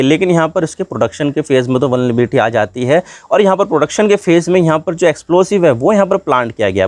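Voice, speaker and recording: Male explainer host, very quick delivery, slightly high voice, informal and chatty, dry close sound. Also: a little mellow, dry recording. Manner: conversational, repetitive filler phrases, addresses viewers as brother. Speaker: host of a current-affairs explainer channel. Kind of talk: informal news explainer, talking to viewers.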